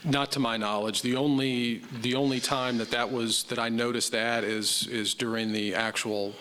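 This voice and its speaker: Police captain explaining in a reverberant hall. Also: male voice, mid-range voice, fairly even intonation, a bit bright, a little hiss or hum. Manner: measured, explanatory, admits uncertainty. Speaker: police captain answering board questions. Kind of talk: formal public meeting testimony. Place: city council chamber.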